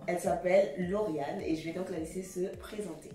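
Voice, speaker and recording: A woman with a lowish voice hosts a relaxed interview chat, friendly and talkative, stumbling over repeated words as she thinks aloud. She sounds a little away from the mic in an echoey room. Music plays behind her. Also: brisk, far from the mic. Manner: friendly, chatty, laughs often, repeats words when searching. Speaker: host.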